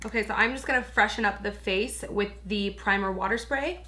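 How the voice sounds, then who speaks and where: A young woman with a bright, forward tone roughened by hoarseness talks casually through a beauty tutorial. She gushes and chats freely, filling her sentences with little asides to her viewers. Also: mid-range voice, a little fast. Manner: gushing, chatty, lots of "like" and "you guys". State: raspy, hoarse voice. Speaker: beauty vlogger. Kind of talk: casual makeup tutorial chat.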